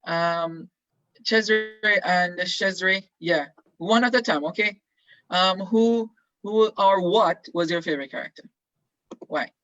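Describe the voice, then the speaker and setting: Bright-voiced man teaching over video call. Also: high voice, takes it slowly, no echo. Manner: encouraging, questioning, many fillers. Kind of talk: leading an online class discussion. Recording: dull lo-fi video call audio.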